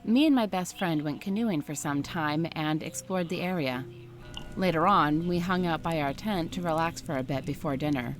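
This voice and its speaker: A woman reading a first-person story aloud in a steady, scripted narration style. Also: low voice, moderate pace, faint music behind.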